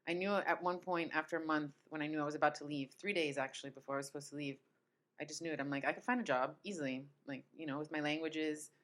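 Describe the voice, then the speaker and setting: A woman who grew up in Italy and Tampa, a guest on a podcast, telling her story softly and laughing easily. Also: low voice, fairly even intonation, quick pace. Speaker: podcast guest, food tour business owner. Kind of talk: casual interview storytelling.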